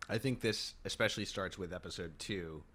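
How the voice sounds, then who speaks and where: Deep-voiced man hosting a film podcast. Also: a bit bright. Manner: measured, asks thoughtful questions. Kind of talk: casual film discussion.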